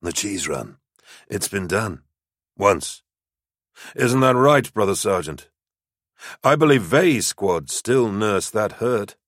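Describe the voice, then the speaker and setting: A man's voice: lowish voice, very animated, slow, long pauses, fairly bright tone, no room sound, clean studio sound, voice pushed up, heavily acted. A man voicing a wry older warrior medic who pointedly needles his sergeant, in an audiobook story. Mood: needling.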